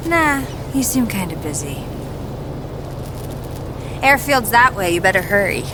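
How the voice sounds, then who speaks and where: A woman's voice: mid-range voice, sing-song, unhurried, noisy background. An actress playing a brash, reckless young woman in a video game cutscene, sarcastic and flippant. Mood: subdued, wry.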